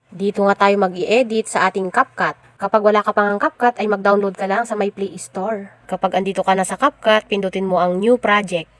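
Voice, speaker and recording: A woman's voice: mid-range voice, dry recording. A woman with a Filipino accent giving a casual step-by-step tutorial voiceover as a vlogger.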